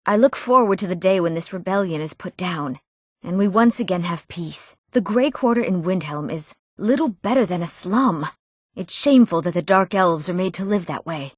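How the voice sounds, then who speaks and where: Actress doing a young proper fantasy game lady, earnest n polite, mid pitch, super dry recording. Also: dry recording, natural voice. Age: young adult. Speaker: video game voice actor. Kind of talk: scripted fantasy game dialogue.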